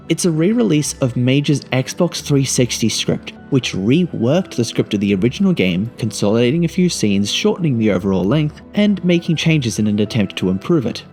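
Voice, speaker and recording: A man's voice: medium pitch, very animated, normal speed, hardly any pauses, darkish tone, faint music behind. A man reading a scripted video game review as narration.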